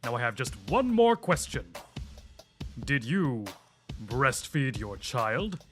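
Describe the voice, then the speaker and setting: A man's voice: medium pitch, very animated, slow, a bit bright, faint music behind. An American man playing a dogged, sardonic police detective in a hardboiled radio drama. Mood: earnest.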